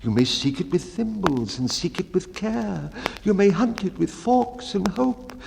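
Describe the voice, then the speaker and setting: Timid, high-pitched, mournful baker. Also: male voice, expressive, a little slow, vocal fry, long reverb tail, background noise, heavily acted. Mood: wistful. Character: timid, mournful baker, mild man pouring out woes. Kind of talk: dramatic poetry reading.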